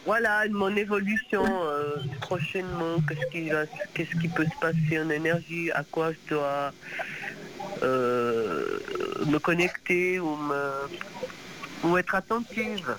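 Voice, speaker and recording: A woman's voice: low-pitched, expressive, takes it slowly, crowd noise, poor audio. A woman calling a psychic show, chatty and a little apologetic, speaking with a head cold. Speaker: caller.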